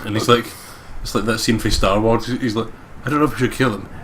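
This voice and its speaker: A man's voice: fairly low pitch, steady tone, fast talker, fairly bright tone. A man giving casual, joking, sweary commentary as a co-player in a let's play. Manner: sweary, irreverent. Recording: slight background noise.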